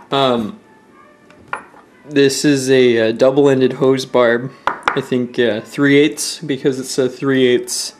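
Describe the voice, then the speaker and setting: A young man with a medium-pitched voice walks through a homemade project in an informal way. He thinks aloud as he goes, a little hesitant, like an amateur tutorial presenter. He is recorded indoors at home, close to a handheld camera. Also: background noise. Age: young adult. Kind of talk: casual how-to explanation. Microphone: handheld camera at close range.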